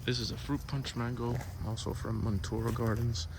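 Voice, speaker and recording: A man's voice: mid-range voice, level tone, normal speed. A man from Miami, born in Cuba, talking casually and conversationally as he gives an unscripted tour outdoors in his backyard. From born in Cuba, raised in Miami. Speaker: host giving a tour of home fruit garden. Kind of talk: casual unscripted vlog narration. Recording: noisy outdoor recording with ambient sound.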